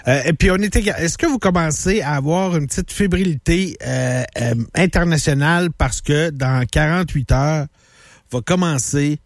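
A man with a Quebec French accent co-hosting a radio show, explaining things calmly and agreeably. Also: fairly high pitch, moderate pace. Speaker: radio co-host. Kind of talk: casual radio talk.

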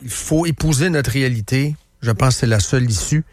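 A man's voice: mid-range voice, a little fast, no room sound. A man with a Quebec French accent hosting a radio show in a studio, talking in a chatty, emphatic way and repeating himself. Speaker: radio host. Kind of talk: casual radio talk.

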